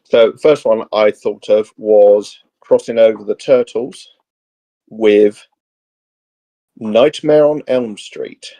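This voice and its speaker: A man's voice: fairly low pitch, steady tone, takes it slowly, mellow. A man chatting casually as a guest co-host, explaining ideas off the cuff in a hesitant, meandering way.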